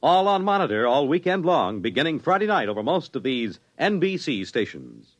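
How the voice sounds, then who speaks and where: A man's voice: fairly high pitch, sing-song, normal speed. A man with an American accent announcing in a dramatic, declamatory 1950s radio style. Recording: restored vintage radio recording.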